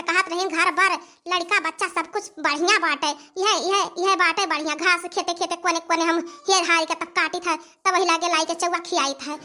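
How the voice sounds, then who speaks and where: Woman voicing a shrill, aggrieved young village wife. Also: bright, forceful tone. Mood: grumbling. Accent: Awadhi. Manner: complaining, repeating phrases for emphasis. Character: aggrieved, overworked young village daughter-in-law in a cartoon. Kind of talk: comic village cartoon dialogue.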